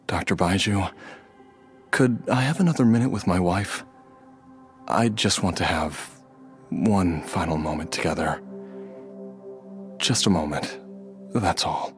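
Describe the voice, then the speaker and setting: A man voicing a gentle, resigned middle-aged husband and father near death in a game, speaking softly and haltingly. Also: sits low, faint music behind. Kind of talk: game voice acting.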